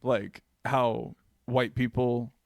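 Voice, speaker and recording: A man's voice: medium pitch, flat delivery, very slow, creaky, darkish tone, dry recording, clean studio sound. A man co-hosting a casual podcast who talks in a loose, chatty way, full of "like", and explains bits of history. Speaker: podcast co-host.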